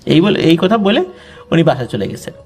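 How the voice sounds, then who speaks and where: A man's voice: a little high, very animated, a little fast, darkish tone, soft background music. A man with a Bangladeshi accent narrating a ghost story conversationally, with explanatory colloquial asides.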